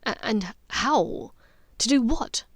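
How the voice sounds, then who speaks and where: A woman's voice: on the high side, expressive, slow, no room sound, exaggerated voice. A woman doing a frustrated young wizard. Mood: confused. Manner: rough, questioning. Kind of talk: audiobook character dialogue.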